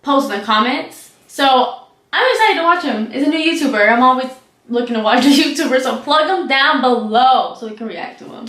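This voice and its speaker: High-voiced woman chatting in an echoey room. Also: animated, fairly quick, fairly bright tone. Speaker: YouTube reaction channel host. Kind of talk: casual reaction chat. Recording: roomy echo, distant mic, music behind.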